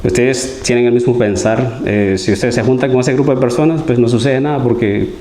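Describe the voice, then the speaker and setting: A man's voice: medium pitch, fairly quick, mellow. A man from Guatemala, giving an earnest, conversational sermon as a lay preacher and often asking his listeners for agreement. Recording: roomy church hall echo.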